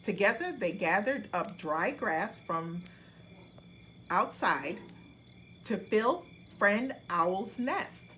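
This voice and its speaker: A woman narrating a children's bedtime story in an expressive, deliberate storytelling manner. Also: medium pitch, slight background noise.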